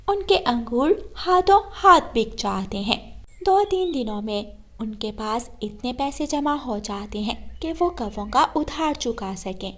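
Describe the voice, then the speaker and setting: Woman narrator reading a kids cartoon story, pretty high voice. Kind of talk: scripted children's story narration.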